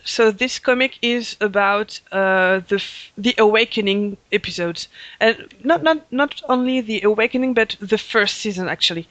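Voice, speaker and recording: A man's voice: sits high, moderate pace, a bit bright, dry recording, rough recording. A man hosting a fan podcast, talking in a hesitant way with many fillers and frequent laughs. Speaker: podcast host. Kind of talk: casual chat.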